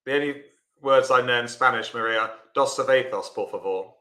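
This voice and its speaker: A man teaching a live online lesson in a chatty way, greeting viewers as he explains. His voice is bright and forward. The room adds a clear echo. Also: medium pitch, moderate pace. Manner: chatty, talks to viewers by name while explaining. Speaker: bridge teacher.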